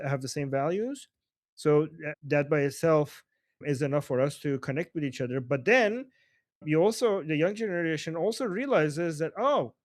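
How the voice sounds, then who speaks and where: A man's voice: a little high, no echo, clean studio sound. Emphatic Iranian man hosting a political call. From Iran. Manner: emphatic, argumentative, rhetorical tag questions. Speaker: host. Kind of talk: live political discussion.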